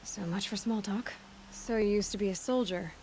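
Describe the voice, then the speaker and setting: A woman's voice: medium pitch, moderate pace, a little mellow, a little hiss or hum, lightly acted. A woman playing a guarded, blunt huntress. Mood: dryly curious. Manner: wary, dry, questioning. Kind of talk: video game dramatic acting.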